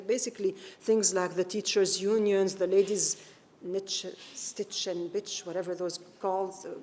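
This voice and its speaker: A middle-aged woman giving a keynote lecture, speaking earnestly and with emphasis, often pausing with fillers and restarting her sentences. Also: mid-range voice. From Palestinian, born in Iraq, raised in Lebanon. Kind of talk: public lecture. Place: large lecture hall. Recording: echoey hall, long reverb.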